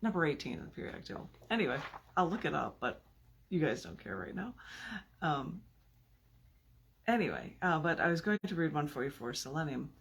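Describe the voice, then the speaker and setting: A woman poet with a husky, gravelly voice, reading her work theatrically. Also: low voice, lively intonation, fairly quick, long pauses. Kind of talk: dramatic poetry reading with casual asides.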